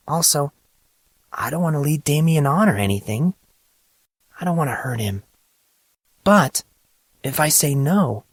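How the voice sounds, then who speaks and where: A woman playing a composed but troubled teenage high school girl in an audio drama, talking in a measured, explanatory way. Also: dry recording, exaggerated voice.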